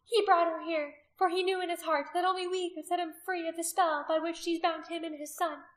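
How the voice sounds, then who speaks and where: A woman's voice: very high voice, normal speed, bright, forceful tone, reverberant, pitched above the performer's own voice, full character voice. A woman narrator playing a cruel, commanding pagan priestess in an audiobook story, accusing and imperious. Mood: fanatical triumph.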